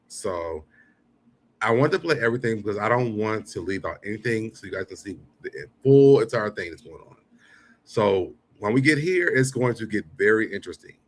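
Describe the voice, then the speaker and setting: A low-pitched man hosts a live commentary show. He talks casually and bluntly, with swearing mixed freely into his remarks. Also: fairly bright tone. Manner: blunt, profane. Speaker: show host. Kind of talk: casual live commentary over played clips.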